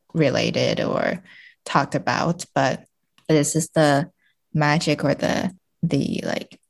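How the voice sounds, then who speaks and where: A woman's voice: low voice, creaky, darkish tone. A woman speaking as a podcast guest in a casual literary discussion, feeling hesitantly for her words.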